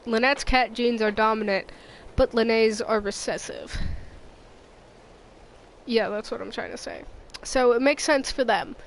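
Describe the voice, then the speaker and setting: Slow-paced, playful woman streamer. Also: on the high side, slight background noise, low-quality recording. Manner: playful, rambling, dry sarcasm. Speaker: game streamer. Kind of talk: casual gameplay commentary.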